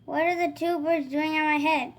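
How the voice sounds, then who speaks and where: A woman's voice: high-pitched, fairly even intonation, normal speed. A woman voicing an anxious, exasperated elephant from a children's picture book, questioning everything in disbelief. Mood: incredulous. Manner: questioning, incredulous.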